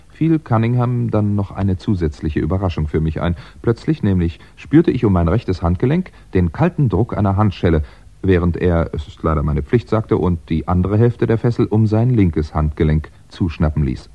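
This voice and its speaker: An articulate crime writer in an old radio mystery, wry and easily exasperated. His low voice moves with lively, wide inflection, on a slightly dull vintage recording. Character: exasperated crime writer narrating his own wrongful arrest. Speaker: actor and narrator in a radio crime play. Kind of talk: scripted first-person narration and radio acting.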